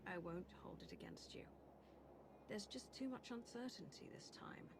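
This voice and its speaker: A resolute woman commander in a video game performed by an actress. Her mid-pitched voice has a marked gravelly creak and carries firm authority over a clear bed of music. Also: moderate pace, lightly acted. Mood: concerned. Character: firm, decisive female military commander. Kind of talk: video game cutscene acting. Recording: music behind the voice.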